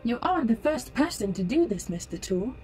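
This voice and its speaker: A courteous female holographic android, acted by a woman in a sci-fi audio drama. Her voice sits fairly high and swings melodically, yet stays formal and procedural as she deals with people. Faint music runs underneath. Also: very animated, brisk, darkish tone. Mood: polite, puzzled. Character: polite, rule-bound female holographic android sales unit.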